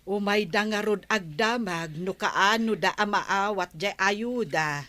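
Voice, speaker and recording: A woman's voice: medium pitch, slow pace, pushed and bright. A woman playing a nagging, questioning villager in an Ilocano comedy radio drama.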